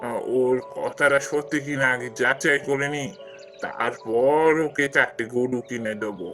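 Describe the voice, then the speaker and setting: A man playing a kind, generous old village landlord in a cartoon fairy tale, explaining things in a measured way. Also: mid-range voice, steady tone, sharp tone, faint music behind. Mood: benevolent, earnest.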